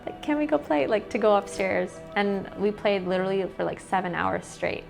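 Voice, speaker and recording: Young American woman, casual interviewee, filler-heavy chat, mid pitch. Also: expressive, moderate pace, gravelly, soft background music, poor audio. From United States. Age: young adult. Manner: chatty, with frequent "like" fillers.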